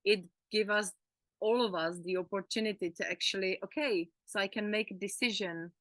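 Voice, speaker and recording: Bright-voiced woman with a Czech accent. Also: mid-range voice, fairly quick, no room sound. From Czech Republic. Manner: searches for English words, upbeat. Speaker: healing course teacher and co-host. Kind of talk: teaching conversation.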